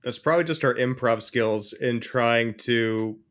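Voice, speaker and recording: A man's voice: medium pitch, a little slow, fairly bright tone. A man talking casually as a co-host in a podcast conversation.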